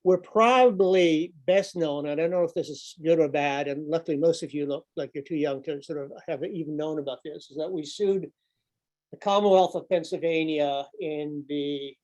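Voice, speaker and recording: A man's voice: a little high, moderate pace, darkish tone, poor audio. An older man speaking to a business group over a video call, full of fillers and repeated words. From grew up overseas. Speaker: environmental nonprofit director and speaker. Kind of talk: informal talk to a business audience. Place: office room with a window. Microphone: laptop webcam, video call.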